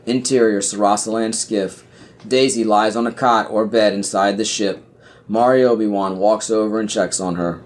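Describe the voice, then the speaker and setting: A man's voice: fairly low pitch, steady tone, moderate pace. A man reading scripted scene directions as the narrator of a podcast audio play.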